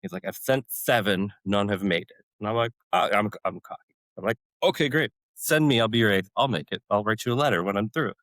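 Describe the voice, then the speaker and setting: Deep-voiced man telling lively war stories. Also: brisk, no room sound. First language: Polish. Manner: animated, laughs often, voices other people in his stories. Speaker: podcast guest, retired Army warrant officer. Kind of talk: casual conversational storytelling.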